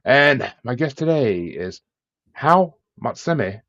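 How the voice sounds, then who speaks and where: A man's voice: medium pitch, very animated, slow, a bit bright. A man hosting a podcast with casual, jokey and self-deprecating interview chat. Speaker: podcast host. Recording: dull lo-fi sound, roomy echo.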